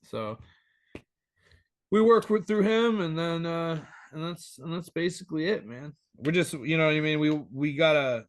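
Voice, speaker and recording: A man in his thirties joining a podcast over a remote call as a guest, talking in an animated, rambling way and swearing freely. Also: fairly high pitch, normal speed, dry recording. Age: 30s. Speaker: band member guest. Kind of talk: casual interview chat.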